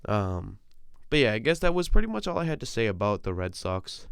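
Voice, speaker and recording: Deep smooth-voiced young man. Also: very animated, brisk, dry recording, clean studio sound. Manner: casual, ranting, swears freely. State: recovering from a cold. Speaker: podcast host. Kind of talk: solo sports talk. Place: small compact room.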